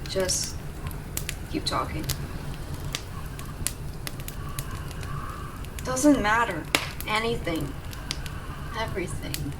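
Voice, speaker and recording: Heard a little away from the mic over clear background noise, a woman acts out a young tsundere rescuer in an intimate audio drama. She is gruff yet devoted, with an emotional delivery that moves between soft murmurs and exasperation at a medium pitch. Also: far from the mic. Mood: worried, coaxing. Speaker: voice actor in audio roleplay.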